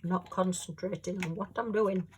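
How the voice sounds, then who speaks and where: A woman chatting casually at home while she works on a craft, rambling and homely with lots of fillers, her voice a little hoarse. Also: lowish voice, fairly even intonation, normal speed, slight background noise. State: slightly hoarse. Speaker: crafting vlogger.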